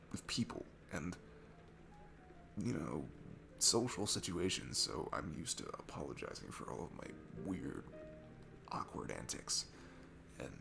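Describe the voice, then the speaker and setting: Male actor as shy, awkward bar stranger for ASMR roleplay: low, heavily creaky voice, self-deprecating stammer, music behind. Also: full character voice. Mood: sheepish, confiding. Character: shy, nervous, sweet awkward guy at a bar. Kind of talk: intimate ASMR roleplay monologue.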